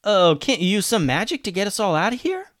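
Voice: medium pitch, big pitch swings, a little fast, dry recording, clean studio sound, pitched above the performer's own voice, close to an everyday voice. A storyteller voicing an eager, earnest young boy on an adventure in a children's story.

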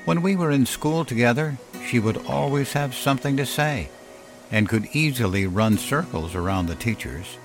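A man narrating a scripted first-person story in a measured, solemn, reflective way, as on a fiction podcast. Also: mid-range voice, animated, poor audio. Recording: music behind the voice. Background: clear music bed.

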